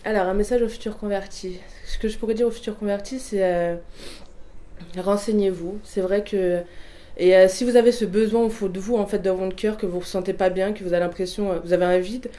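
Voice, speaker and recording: A woman in her twenties speaks in a soft, mellow voice, pitched in a middle range for a woman. She talks haltingly, with frequent fillers and restarts, as she answers interview questions about her own experience. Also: steady tone. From Portuguese family. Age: 20s. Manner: hesitant, many fillers and restarts.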